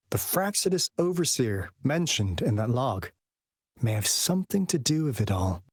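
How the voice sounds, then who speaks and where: A man's voice: medium pitch, breathy edge, no echo, clean studio sound. A man voicing a confident, casual young agent in a video game, explaining things in a conversational way. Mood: thoughtful. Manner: conversational, expository, breezy. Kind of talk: video game dialogue acting.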